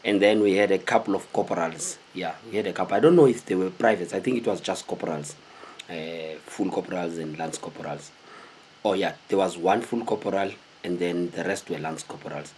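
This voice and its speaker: A South African man in his thirties tells stories casually to the camera in an animated, conversational way, often putting questions to his viewers. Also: sits low, brisk, a little hiss or hum. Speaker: host telling stories of his military service. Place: small indoor room with cloth backdrop.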